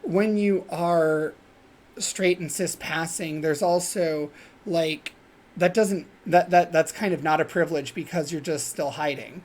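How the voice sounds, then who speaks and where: A man with a higher-pitched voice co-hosting a podcast in casual chat over a video call, rambling and restarting his sentences. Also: measured pace, darkish tone. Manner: rambling, self-interrupting, with repeated fillers. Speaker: podcast co-host.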